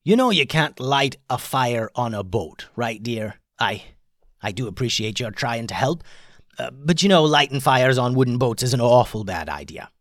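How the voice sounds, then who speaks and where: A man voicing a nervous male ship captain who yells in a flustered way in a storybook reading. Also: medium pitch, expressive, moderate pace, no room sound, clean studio sound, natural voice. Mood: fussing. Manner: loud, flustered yelling.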